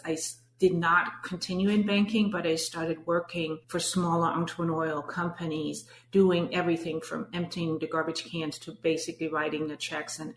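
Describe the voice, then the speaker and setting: A woman's voice: low-pitched, roomy sound, a little hiss or hum. A middle-aged woman from Denmark with a Danish accent, a coach telling her story as a guest in an earnest, thoughtful way with many restarts. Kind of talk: casual interview talk.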